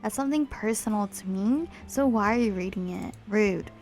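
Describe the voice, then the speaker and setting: A woman's voice: mid-range voice, moderate pace, darkish tone, faint music behind. A young woman reading a visual novel aloud for a let's play, with chatty, apologetic asides between the lines.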